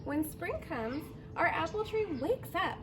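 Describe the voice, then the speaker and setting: Woman, high-pitched, expressive children's read-aloud, drawn-out words, outdoor backyard recording, clearly noisy background. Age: 30s or 40s. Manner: expressive, drawn-out words, simple questions to young listeners. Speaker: teacher reading a picture book to children.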